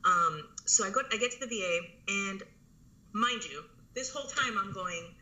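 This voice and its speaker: A woman's voice: medium pitch, animated, normal speed, pushed and bright, poor audio. A woman in her thirties, an interview guest telling her story in a casual, rambling way with lots of fillers and restarts. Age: 30s.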